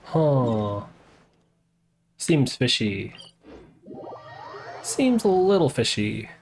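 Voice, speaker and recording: A woman's voice: rumbling low, big pitch swings, extremely slow, a little mellow, soft background music. A woman game streamer giving casual playthrough commentary in wry, joking asides on a close mic.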